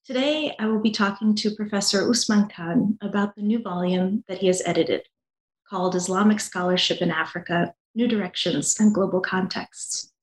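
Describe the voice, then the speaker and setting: A woman hosting an academic book podcast, reading her introduction in a polite, measured way. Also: medium pitch. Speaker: podcast host. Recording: roomy echo.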